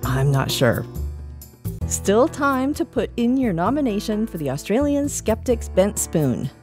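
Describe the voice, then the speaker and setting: A woman's voice: medium pitch, very animated, measured pace, over music. A woman with a Canadian accent presenting a podcast segment, reading aloud with light asides.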